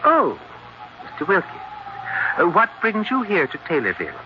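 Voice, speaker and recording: Nervous, jumpy-pitched old husband. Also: male voice, huge pitch swings, moderate pace. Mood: startled, wary. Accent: American. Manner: wary, hesitant, formal. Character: nervous, guarded elderly husband with secrets. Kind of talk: 1940s American radio drama acting. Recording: dull, hissy 1940s radio recording.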